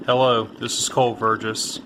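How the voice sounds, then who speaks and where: A man's voice: level tone, normal speed, close to an everyday voice. A man playing a polite, obedient male gun for hire in an audio drama, answering with clipped deference. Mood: dutiful. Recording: bubbling, rumbling background noise.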